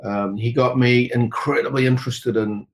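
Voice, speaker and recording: A middle-aged New Zealand man talks as an interview guest at a middling pitch. He rambles and halts, stitching his thoughts together with lots of "you know"s. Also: steady tone, normal speed. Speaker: interview guest, tech company co-founder. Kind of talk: conversational interview answers.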